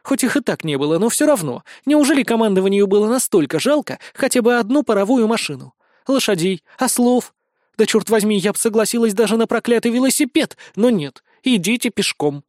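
A man's voice: fairly high pitch, sing-song, quick pace, hardly any pauses, no echo, studio quality. A man voicing a feisty petite woman, bossy and exasperated, in a fantasy audiobook. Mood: indignant. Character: feisty petite blonde woman, gruff protective comrade.

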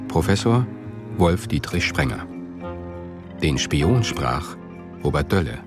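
A man's voice: low-pitched, very animated, slow, gravelly, over music. A man reading a scripted title and cast announcement for a radio drama.

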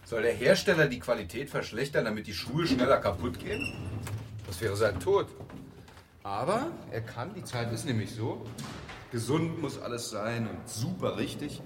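A man's voice: medium pitch, animated, normal speed, noisy background, close to an everyday voice. An actor playing a glib, self-assured advertising man who explains matter-of-factly and asks rhetorical questions in a radio play. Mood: eager, calculating. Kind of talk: German radio play, conversational monologue acting.

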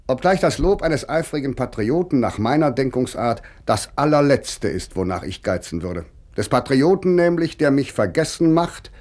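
An actor playing an earnest, wry male writer in a radio drama, reading his letters in a measured, expressive, literary way. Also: medium pitch, natural voice. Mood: reflective.

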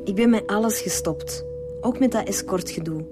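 A woman's voice: mid-range voice, normal speed, over music, natural voice. An actress playing a guarded young woman, a former escort under questioning, in a radio drama. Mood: bitter. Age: young adult.

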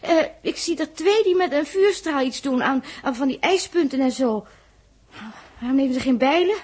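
A woman's voice: high-pitched, expressive, moderate pace, a little mellow. An actress playing an anxious young girl on a spaceship in a 1960s radio drama, plaintive and questioning. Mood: fretful, curious.

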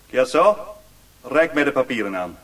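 An actor playing a haughty, sardonic Chinese warlord governor in a radio drama, imperious and questioning. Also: male voice, medium pitch, big pitch swings, moderate pace, a bit bright, recorded from a distance, low-quality recording. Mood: amused, commanding. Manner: imperious, interrogating.

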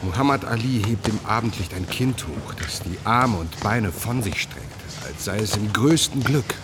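An actor playing a reverent reporter, giving lyrical scripted narration in a radio drama. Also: male voice, on the low side, expressive, normal speed, very noisy, close to an everyday voice. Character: reverent male reporter recounting a scene, lyrical.

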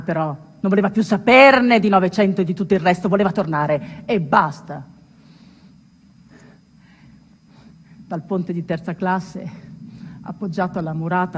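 In a stage monologue, a woman plays a ship's musician retelling his friend's legend, emphatic, with long suspenseful pauses and sudden outbursts. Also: sits low, lightly acted. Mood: wistful. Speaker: stage actor. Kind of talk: theatrical monologue, dramatic storytelling. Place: theatre hall, live stage performance. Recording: reverberant hall recording with clear background noise.

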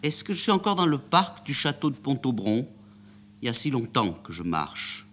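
A man doing a jittery, high-strung young woman. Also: very animated, normal speed, a little hiss or hum, natural voice. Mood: bewildered. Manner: breathless, pleading, high-strung. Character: nervous, bewildered young woman. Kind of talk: dramatic reading of a play.

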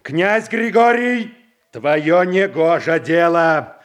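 An actor playing a proud prince guarding family honour, with stern, deliberate classical radio-drama delivery. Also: male voice, a little high. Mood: stern anger. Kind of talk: Russian classical stage acting, radio play.

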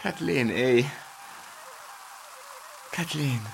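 An actor playing an overwhelmed man in a drama, stammering and stunned, with a husky, creaky voice. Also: medium pitch, fairly bright tone, soft background music, exaggerated voice, poor audio. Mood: elated disbelief. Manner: halting, stunned. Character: overwhelmed, stammering man hearing big news from partner.

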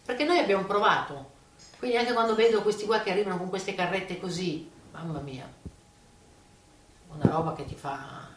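An older woman recalling her life casually, with an expressive, exclamatory way of talking. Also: fairly low pitch, normal speed, slight background noise. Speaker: witness giving testimony. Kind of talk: animated personal recollection, casual. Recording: roomy, distant video interview sound.

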